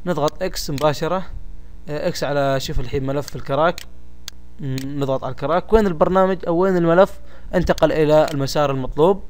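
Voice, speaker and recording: A man with a Gulf Arabic accent presenting a software tutorial step by step in an informal, colloquial way, addressing listeners familiarly. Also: on the high side. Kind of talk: casual step-by-step screen tutorial. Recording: home recording with faint background noise.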